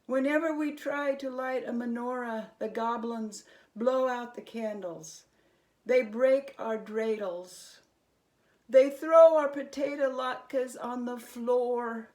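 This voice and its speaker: Woman voicing a plaintive, high-pitched villager. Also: takes it slowly, a little mellow. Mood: miserable, aggrieved. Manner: plaintive, emphatic. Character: sad, fearful villager, weary of tormenting goblins. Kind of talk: acted children's storybook reading.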